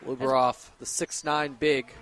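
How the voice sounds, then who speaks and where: A man's voice: mid-range voice, little pitch movement, measured pace, fairly bright tone, slight background noise. A man working as a color commentator on a live basketball radio broadcast, explaining the game conversationally. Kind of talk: live radio sports analysis.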